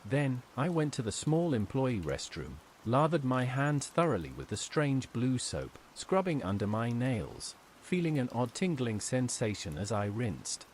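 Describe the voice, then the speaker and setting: A man narrates a scripted horror tale in the first person. His pitch is ordinary for a man but moves with a lot of expression. Soft rain sounds behind the voice. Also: very animated, a little slow, few pauses, a little hiss or hum.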